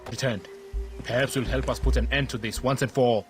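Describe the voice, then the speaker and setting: An actor playing a stern army major in a video game, speaking gravely and formally. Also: male voice, medium pitch, steady tone, a little fast, lightly acted. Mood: grave, resolute. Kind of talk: video game cutscene acting. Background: music.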